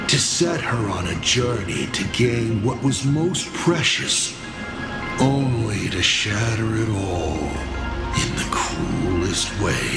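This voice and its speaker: A man playing a cruel, theatrical mastermind in a video game, calm and mockingly philosophical, with a gravelly, measured delivery. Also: lowish voice. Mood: bitter, deliberate. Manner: measured, dramatic, deliberate. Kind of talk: video game character acting. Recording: echoey voice under loud music.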